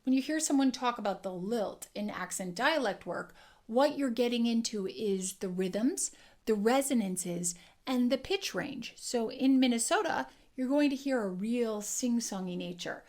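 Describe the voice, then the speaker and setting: A woman dialect coach teaching an accent lesson, explaining clearly and demonstrating sounds. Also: on the high side, animated, unhurried, darkish tone.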